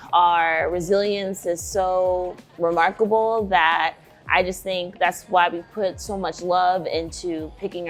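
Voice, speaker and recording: A young woman from Nigeria, a shoe brand co-founder, answering interview questions in an earnest, emphatic way. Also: lowish voice.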